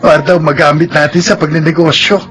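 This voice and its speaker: Contrite fisherman husband, male actor, radio drama: fairly high voice, earnest and soothing, faint music underneath. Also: flat delivery, fast talker, a bit bright, close to an everyday voice. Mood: earnest, reassuring. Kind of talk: Filipino radio drama acting.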